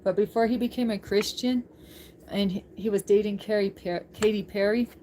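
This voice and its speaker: A woman chats casually as a livestream host, with some faint noise behind her. Her voice sits at a middling pitch. She rambles informally and has a giggly way of talking to her viewers. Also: steady tone, moderate pace, warm tone.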